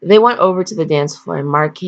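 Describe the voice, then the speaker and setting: A woman's voice: low-pitched, a little fast. Woman in a dull, lo-fi recording, rambling bluntly. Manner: slangy, blunt, digressive, mock-scolding. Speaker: host reading fan fiction aloud. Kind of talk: casual reading with rambling commentary.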